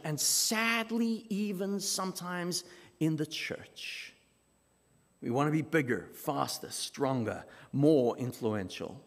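A man from South Africa with a South African accent, preaching a sermon as pastor in measured, emphatic phrases with dramatic pauses. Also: fairly high pitch. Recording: long reverb of a large hall.

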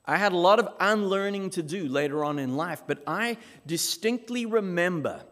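A man from South Africa with a South African accent, preaching a sermon as pastor in measured, emphatic phrases with dramatic pauses. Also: high-pitched, lively intonation, fairly bright tone. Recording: long reverb of a large hall.

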